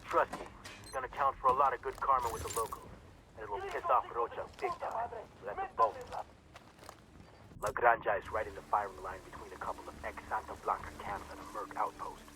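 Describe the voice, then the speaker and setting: An actor playing a radio handler who gives matter-of-fact mission briefings. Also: male voice, on the low side, animated, a little fast, lightly acted. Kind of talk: video game mission briefing. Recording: game audio with loud background noise.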